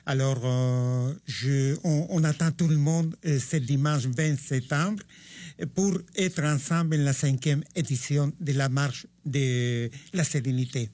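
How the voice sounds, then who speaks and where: A man's voice: medium pitch, takes it slowly, a little mellow, no room sound. A man with an Italian accent hosting a radio show in a studio, friendly and rambling as he mixes languages. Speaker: radio host.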